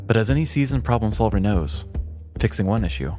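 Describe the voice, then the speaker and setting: A man's voice: fairly low pitch, expressive, a little fast, warm tone, soft background music. A man co-hosting a comedy podcast, moving between casual banter and scripted humorous storytelling.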